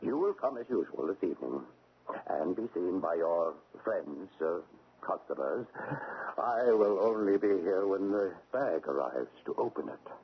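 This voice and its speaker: A man playing a stern, formal government agent in an Old West radio drama, speaking in measured official phrases. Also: sits low, very animated, breathy edge, exaggerated voice. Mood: grave, official. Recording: narrow-band, noisy old radio broadcast.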